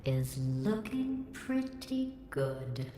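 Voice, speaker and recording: Deadpan menacing computer AI. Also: female voice, extremely low pitch, extremely slow, full character voice. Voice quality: deadpan, passive-aggressive. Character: cold, sarcastic female facility AI, menacing. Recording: echoey game audio with music.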